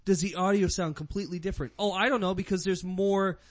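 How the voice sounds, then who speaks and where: A man's voice: high-pitched, steady tone, fast, dry recording. A man casually bantering and laughing often as a podcast host, close to the mic.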